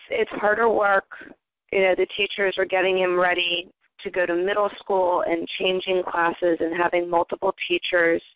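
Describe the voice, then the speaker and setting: A woman's voice: fairly low pitch, no echo, lo-fi sound. A middle-aged woman giving a casual personal testimonial, telling her story conversationally as a parent on a recorded call. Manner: conversational, retelling dialogue in quotes. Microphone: recorded conference call.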